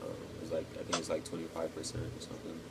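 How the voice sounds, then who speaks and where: Young texas guy, really low voice, pretty monotone, lots of ums, hum in the background. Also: vocal fry. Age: 20s. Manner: thoughtful, pauses with ums. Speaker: interviewee, cadet. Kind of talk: casual interview answers.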